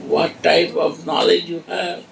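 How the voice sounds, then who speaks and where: A man playing a tearful, grieving mother of a divine child, pleading and reproachful in halting English. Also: on the high side, monotone, gravelly. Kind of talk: devotional dramatic monologue. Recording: echoey room, distant mic, background noise.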